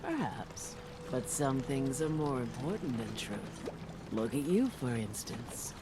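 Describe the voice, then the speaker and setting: An actress playing an old, weary island widow in a video game, telling things in a solemn, folksy, deliberate way with a sly streak. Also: female voice, extremely low pitch, lively intonation, noisy background, heavily acted. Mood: defensive, reflective. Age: older. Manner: slow, deliberate, folksy storytelling. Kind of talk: dramatic video game dialogue. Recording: loud music over the voice.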